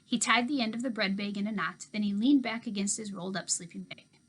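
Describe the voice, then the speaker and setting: A woman teacher reading a children's novel aloud to her class, expressive, dropping to a hush for asides. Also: mid-range voice, fast talker. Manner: expressive storytelling, hushed asides.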